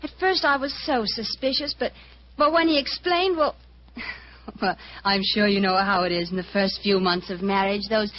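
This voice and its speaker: An actress playing a coy, flustered young newlywed in an old-time radio drama. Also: female voice, mid-range voice, moderate pace, natural voice. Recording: dull, hissy old radio recording.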